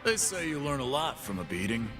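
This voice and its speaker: A man's voice: medium pitch, big pitch swings, brisk, natural voice. A man voicing a cocky half-beast pit boss. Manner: swaggering, boastful, tough-guy taunts. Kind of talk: game character voice lines. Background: game music.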